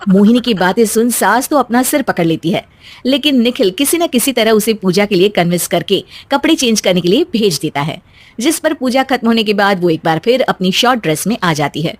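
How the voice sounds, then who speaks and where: Female cartoon-story narrator: medium pitch, scripted storytelling read, close dry sound. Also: animated, fairly quick, no room sound.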